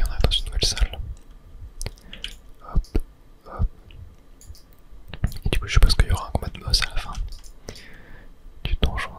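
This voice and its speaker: A person whispering a casual ASMR commentary while playing a video game, thinking aloud in a tentative way. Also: rough recording. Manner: hushed, unvoiced whispering. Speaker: gaming video host.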